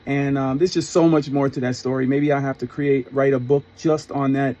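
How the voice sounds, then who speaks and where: Middle-aged man, soft dark tone, casual storytelling, conversational, rambling and reflective. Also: mid-range voice, level tone, moderate pace. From New York area, US. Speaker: storyteller, former concert promoter.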